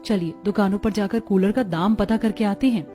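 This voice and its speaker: A woman voicing a patient, worried poor mother and elder sister-in-law in an animated story. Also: mid-range voice, normal speed, faint music behind, lightly acted. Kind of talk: animated Hindi family story acting.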